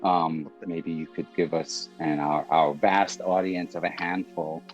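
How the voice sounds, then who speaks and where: A man hosting a casual interview, introducing a guest in a friendly, halting way with stacked restarts. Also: deep voice, level tone, faint music behind. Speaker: podcast host. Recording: muffled lo-fi audio.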